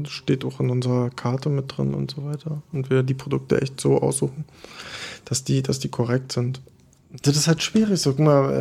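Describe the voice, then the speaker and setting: A man speaks in a low, gravelly, mellow voice. He keeps his pitch fairly flat and steady. He answers thoughtfully, hesitating often and restarting his phrases. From Berlin, Germany. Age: 30s. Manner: hesitant, many fillers and restarts. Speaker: bar co-owner interviewee. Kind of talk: reflective interview answers.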